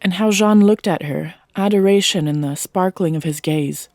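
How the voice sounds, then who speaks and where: A woman's voice: fairly low pitch, moderate pace, darkish tone, no room sound. A woman giving a scripted narration of a fiction story as its narrator, close to the microphone.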